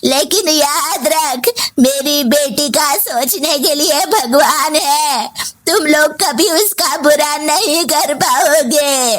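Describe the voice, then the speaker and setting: A woman's voice: sits high, slow pace, a bit bright. A woman voicing a domineering, demanding older mother-in-law in a story, scolding and complaining. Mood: aggrieved. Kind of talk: story voice acting.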